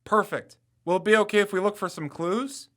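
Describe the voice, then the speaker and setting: A man's voice: high-pitched, fairly quick, a bit bright. A young man playing an eager, confident college student turned amateur detective in an audio drama. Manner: confident, insistent.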